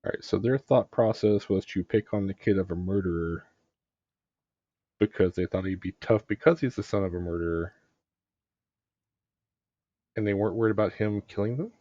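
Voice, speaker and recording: A man's voice: fairly low pitch, moderate pace, pauses often, dark tone, dry recording. A man casually commenting as a live game streamer, on a close, slightly dull-sounding mic.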